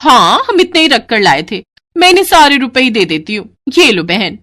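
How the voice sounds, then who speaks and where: A woman's voice: on the high side, sing-song, moderate pace, fairly bright tone. A woman voicing a caring rich man's wife who fondly chides her husband in a cartoon story. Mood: fond, chiding. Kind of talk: Hindi cartoon story acting.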